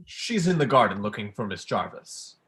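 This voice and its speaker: A man's voice: lowish voice, sing-song, moderate pace, a bit bright. A young man acting a polite, cagey male visitor with a hesitant manner in a staged play reading. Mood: cagey, awkward. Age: young adult.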